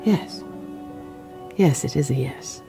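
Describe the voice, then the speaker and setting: An actress playing a guarded, worried grown daughter in a radio drama, in a hushed, clipped, intimate manner. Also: female voice, low-pitched, takes it slowly, music in the background. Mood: hushed, shaken.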